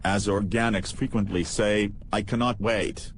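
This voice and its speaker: A man voicing a dry, deadpan droid companion in a sci-fi audio drama. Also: on the low side, a little slow, close to an everyday voice. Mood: dry sarcasm. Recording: faint background noise.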